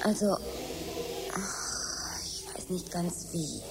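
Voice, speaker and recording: An actress playing a troubled grown daughter in a German radio drama, halting, anxious and emotional. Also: female voice, on the low side, steady tone, heavy background noise. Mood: halting remorse.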